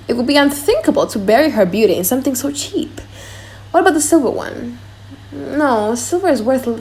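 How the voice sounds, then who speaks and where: A proud prince in love, acted by a woman, speaks in a fairly high voice. He reasons grandly with himself, in the manner of a dramatic audiobook play. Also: creaky, a little mellow, rough recording. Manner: grandiose, self-deliberating. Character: proud, lovestruck foreign prince wooing an heiress.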